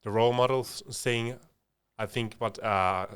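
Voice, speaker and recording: A man's voice: mid-range voice, steady tone, normal speed, fairly bright tone, clean tone. A young man co-hosting a podcast, chatting casually and reflectively and acting out bits of imagined conversation. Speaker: podcast co-host.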